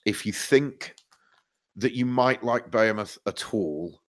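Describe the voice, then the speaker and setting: Deep-voiced guy, bunged up with hay fever, rambling podcast chat, swears a lot. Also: takes it slowly. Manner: rambling, swears freely, many restarts. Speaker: podcast co-host. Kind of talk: casual chat.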